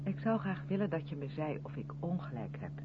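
A hissy, phone-thin old radio drama recording carries an earnest, strict woman. Her pitch moves in lively swings as she probes and insists, emphatic in seventies radio style. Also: fairly quick. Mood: troubled, defensive. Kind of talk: 1970s Dutch radio play acting. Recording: noisy, tinny band-limited old radio recording.

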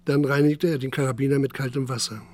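An actor playing a sober, restrained narrator in a scripted radio drama. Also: male voice, medium pitch, fairly even intonation, fast talker, darkish tone, natural voice. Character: sober male narrator chronicling a crime.

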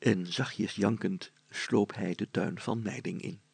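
A male storyteller reads a storybook narrative in a heavily creaky, husky voice. The sound is close and dry, with hardly any room around it. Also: on the low side, steady tone, slow, darkish tone, no echo.

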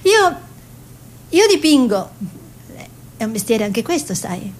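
An actress playing a young peasant woman painter, dreamy and stubborn, in an expressive theatrical delivery. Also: fairly high pitch, takes it slowly, a little hiss or hum. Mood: musing. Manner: expressive, musing, emphatic repetitions. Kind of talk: theatrical monologue and dialogue.

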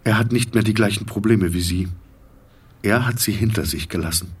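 Slightly distant mic, man acting weary middle-aged male patient, lowish voice, reflective, sardonic, literary inner monologue. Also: normal speed, soft background music, close to an everyday voice. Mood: detached, musing. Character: weary, detached male stroke patient, inwardly narrating his thoughts.

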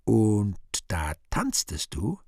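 An actor playing an urbane, sardonic Devil in a radio play, speaking with deliberate irony and mock courtesy. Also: male voice, lowish voice, wildly swooping pitch, gravelly, dark tone, no echo, clean studio sound, full character voice. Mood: coaxing. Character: urbane, sardonic Devil interrogating dead sinners. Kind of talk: theatrical radio play monologue.

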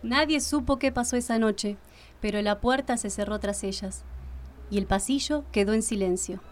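A woman's voice: mid-range voice, normal speed. A woman with an Argentine accent reading scripted narration for a radio play.

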